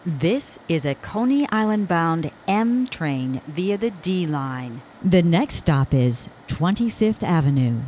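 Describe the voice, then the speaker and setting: A woman reads scripted subway announcements as a recorded train announcer, in a measured, formal, clearly enunciated manner. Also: fairly low pitch, animated, muffled recording, a little hiss or hum.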